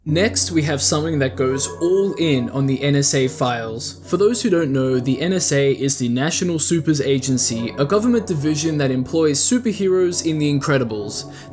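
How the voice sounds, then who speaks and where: A man's voice: fairly high pitch, darkish tone. A man hosting a video essay, giving casual, opinionated commentary with a wry streak and frequent rhetorical questions. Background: background music.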